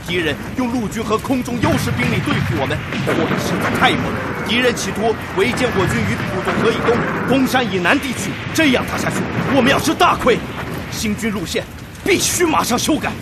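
An actor playing a resolute, emphatic wartime Red Army general in a radio drama. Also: male voice, extremely high pitch, expressive, a little fast, over loud music, rough recording. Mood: grave, urgent. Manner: emphatic, repeats orders loudly. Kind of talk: Chinese radio drama acting.

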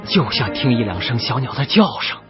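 An actor playing a tender, sorrowful father telling his child of the past in a gentle, emotive radio drama style. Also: mid-range voice, very animated, normal speed, close to an everyday voice. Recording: muffled lo-fi broadcast with music behind.

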